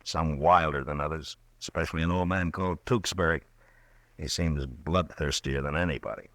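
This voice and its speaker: An actor playing a calm, stern frontier marshal in a 1950s radio drama, speaking steadily and firmly. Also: male voice, very deep voice, moderate pace, no echo. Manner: steady, level-headed, firm.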